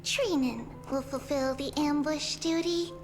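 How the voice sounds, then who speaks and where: Playful, very high-pitched little girl. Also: a little slow, over music. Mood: cheerful, tender. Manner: refers to self by name, playful. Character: mischievous childlike little girl triplets, playful heroes. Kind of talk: video game voice acting.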